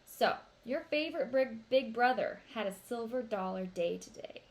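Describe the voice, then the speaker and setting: A woman's voice: fairly high pitch, expressive, normal speed. A woman voicing an upbeat, boastful teenage big brother in a storybook read-aloud, with playful, emphatic delivery.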